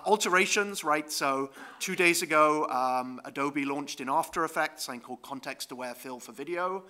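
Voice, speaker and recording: Male conference presenter, mid pitch, sharp bright voice, explanatory, lots of ums. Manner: explanatory, with frequent ums and tag questions. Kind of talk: conference talk with slides.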